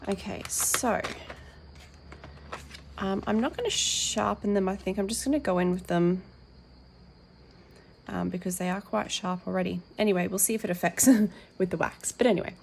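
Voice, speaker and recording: A woman with a croaky, tired voice hosting her colouring channel, chatting casually with lots of ums as she reads out packaging and unboxes. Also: medium pitch, normal speed, long pauses, slight background noise. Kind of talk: casual tabletop unboxing chat.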